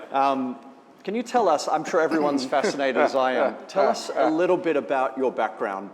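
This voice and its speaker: A man's voice: mid-range voice, slight background noise. A man moderating an onstage interview, asking questions in an effusive, upbeat way. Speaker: moderator, host. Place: conference stage, live event. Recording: echoey hall with faint ambience.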